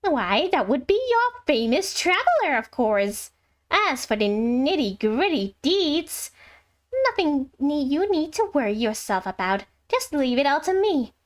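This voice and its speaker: Woman putting on a high-pitched, salesy, money-loving merchant. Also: very animated. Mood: eager, salesy. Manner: salesy, wheedling, drawn-out key words. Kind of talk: reading game letters aloud in character.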